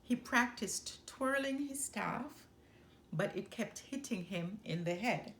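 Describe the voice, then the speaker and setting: A woman reading a children's story aloud as a storyteller, with deliberate, expressive phrasing. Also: medium pitch, a little hiss or hum. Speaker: storyteller, channel host.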